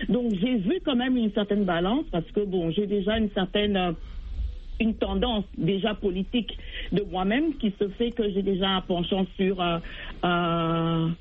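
A woman from Congo, calling in to a radio show and speaking earnestly, often repeating words as she searches for her phrasing. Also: mid-range voice. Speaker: caller, voter. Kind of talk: radio call-in commentary. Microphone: phone. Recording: tinny phone line.

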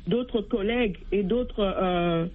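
A woman's voice: mid-range voice, slow. A woman comes through a thin, tinny phone line. She speaks earnestly as a caller and often circles back over her words as she looks for the right phrasing. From Congo. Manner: earnest, repeats words while searching for phrasing. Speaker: caller, voter. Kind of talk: radio call-in commentary.

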